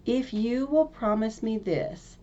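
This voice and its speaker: A woman's voice: fairly high pitch, takes it slowly, dark tone, muffled recording. A woman reading a storybook, voicing a small talking frog who pleads and makes insistent demands. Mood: bargaining.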